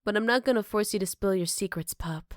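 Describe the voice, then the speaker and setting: Soft-spoken motherly werewolf played by a woman, very dry. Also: medium pitch, no echo, lightly acted. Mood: calm, matter-of-fact. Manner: soft-spoken, hesitant pauses, tender teasing. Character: gentle, caring motherly werewolf woman living in the woods. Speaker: voice actor. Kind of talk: ASMR roleplay addressed to the listener.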